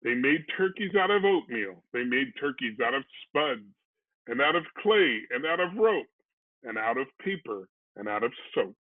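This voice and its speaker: A man reading a children's storybook aloud in an expressive narrating style. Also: medium pitch, moderate pace, no echo. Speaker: storybook reader. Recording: narrow phone-like sound, dry.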